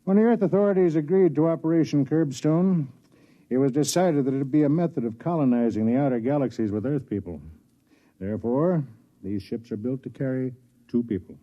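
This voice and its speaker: An actor playing a kindly, self-deprecating older man, a senior officer who also narrates, in 1950s radio drama style with a measured, explanatory manner. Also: medium pitch, natural voice. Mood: grave, explanatory. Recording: dull 1950s radio broadcast, music behind.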